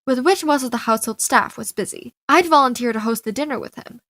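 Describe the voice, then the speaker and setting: A feisty young female con artist played by a woman tells her story in an animated fan dub. Her voice is high and theatrical, with expressive delivery. The recording is close and dry, with no room sound. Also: fast, no room sound. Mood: confessional, suspenseful. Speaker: amateur fan dubber. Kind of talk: animated story fan dub, first-person narration with dialogue.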